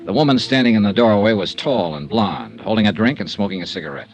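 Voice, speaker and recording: An actor playing a tough, wry insurance investigator in a 1950s radio drama, clipped and probing. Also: male voice, fairly low pitch, expressive, fast talker, soft background music, low-quality recording. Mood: brisk narrating. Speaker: lead actor and narrator.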